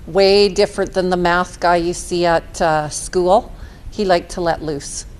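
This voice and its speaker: A woman's voice: steady tone, a little slow. A woman, a teacher, speaking casually in a tribute to a colleague. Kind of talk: casual well-wishing. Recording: faint background rumble.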